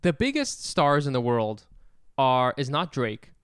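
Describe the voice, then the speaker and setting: Man, 30s, relaxed podcast co-host, smooth resonant voice, dry close mic. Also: mid-range voice, a little slow, dry recording. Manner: relaxed, swears casually, trails off mid-thought. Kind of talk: casual comedic chat.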